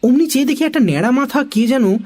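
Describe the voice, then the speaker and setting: A woman narrating a comic children's story in an expressive storytelling style. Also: high voice, quick pace.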